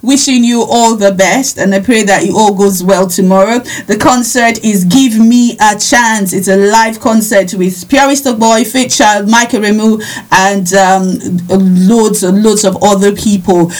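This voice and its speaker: A woman's voice: mid-range voice, steady tone, measured pace, poor audio. A woman hosting an interview, friendly and encouraging, who laughs often. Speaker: radio host. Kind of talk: interview on air.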